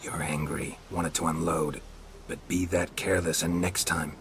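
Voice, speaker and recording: An actor playing a seasoned monster hunter and fatherly mentor in a video game, gruff and laconic with dry understatement. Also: sits low, level tone, moderate pace, noisy background. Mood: stern, concerned. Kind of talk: cinematic video game acting.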